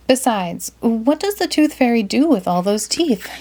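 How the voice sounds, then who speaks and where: A woman's voice: fairly high pitch, big pitch swings, measured pace, darkish tone, voice pushed up, full character voice. A woman voicing a curious, earnest and wistful young boy turtle in a storybook read-aloud. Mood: earnest, doubtful. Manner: earnest, questioning.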